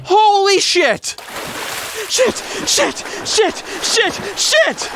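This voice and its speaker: A man playing a hapless, excitable, unlucky game show contestant in an audio drama, jittery and prone to exclaiming. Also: very high-pitched, very slow, noisy background, exaggerated voice. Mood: panicked, indignant.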